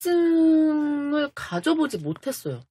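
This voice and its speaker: A woman's voice: sits high, big pitch swings, unhurried, mellow, no room sound. A middle-aged woman co-hosting a talk show in casual, exclamatory chat.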